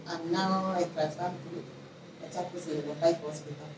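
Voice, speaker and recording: Female Kenyan nurse as interview guest, slightly low pitch, roomy recording with clear background noise. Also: fairly even intonation, normal speed, distant mic. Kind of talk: self-introduction in an interview.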